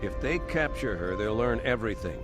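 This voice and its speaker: An actor playing a grave, commanding male starship captain in a video game cutscene, speaking with measured military authority. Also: lowish voice, expressive, lightly acted. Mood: firm, grave. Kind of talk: dramatic game cutscene acting. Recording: loud music under the voice.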